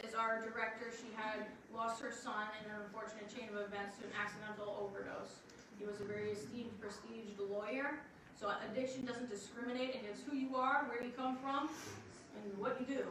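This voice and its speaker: A woman's voice: on the high side, bright, forceful tone. A woman who works in admissions gives upbeat, rambling announcements and speaks at a church service. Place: large echoey hall, church service. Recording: distant mic, heavy room echo, background noise.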